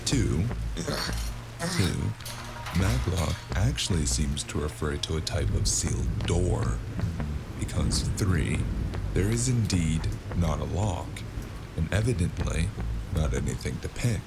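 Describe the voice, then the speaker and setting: A man playing a world-weary, wry noir freelancer in a gravelly voice, narrating a cyberpunk fantasy audio drama in the first person. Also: deep voice, lively intonation, very noisy, exaggerated voice. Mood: dry, wry. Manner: wry, brooding, unhurried. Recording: constant loud music underneath. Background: loud music.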